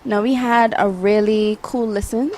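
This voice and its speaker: A woman's voice: medium pitch, steady tone, unhurried, a little mellow, slight background noise. A woman interviewing an artist for a magazine, upbeat and affirming. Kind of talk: casual interview. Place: outdoor arts event.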